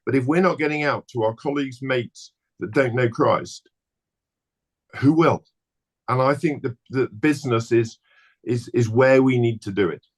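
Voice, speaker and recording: A man delivers a passionate, emphatic appeal to his audience. His voice sits at an ordinary mid pitch. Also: moderate pace, slightly rough. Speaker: business speaker. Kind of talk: passionate exhortation at an online seminar.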